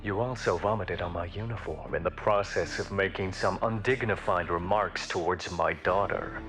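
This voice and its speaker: An actor playing a stern, honorable veteran alien admiral in a video game, speaking in a formal, measured, authoritative way. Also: male voice, sits low, over music, natural voice. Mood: dry, polite. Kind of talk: video game cutscene acting.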